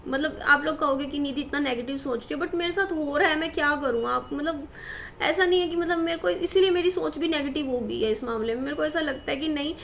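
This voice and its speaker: Worried high-voiced woman in her thirties. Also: creaky, a little hiss or hum. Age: 30s. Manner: worried, rambling, many fillers and restarts. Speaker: vlogger. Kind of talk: personal talk to camera.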